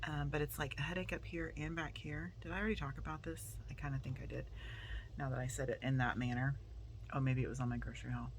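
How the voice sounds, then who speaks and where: Chatty Southern woman, gravelly voice. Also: rumbling low, little pitch movement, quick pace, a little hiss or hum. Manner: chatty, rambling, with fillers. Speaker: vlogger and teacher. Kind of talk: casual vlog chat to camera. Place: outside by her car at school, then indoors at night.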